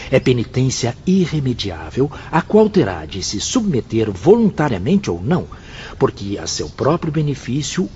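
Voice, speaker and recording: A man acting an earnest spirit pupil in a radio drama. His medium-pitched voice moves widely as he declaims formally, on a dull recording with faint noise. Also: dark tone, lightly acted. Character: Mário, earnest, obedient male spirit pupil reading precepts. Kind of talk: solemn radio drama recitation.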